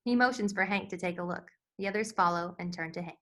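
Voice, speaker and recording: A woman's voice: on the low side, fast, a bit bright. Woman reading screenplay stage directions. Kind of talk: scripted screenplay narration. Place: virtual table read over video call.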